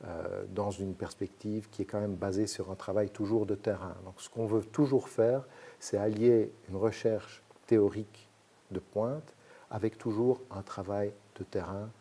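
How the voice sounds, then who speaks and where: Measured academic man, husky. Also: fairly low pitch, fairly even intonation, dark tone. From Belgium. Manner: measured, explanatory, frequent hesitations. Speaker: sociologist researcher guest. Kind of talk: academic interview answers.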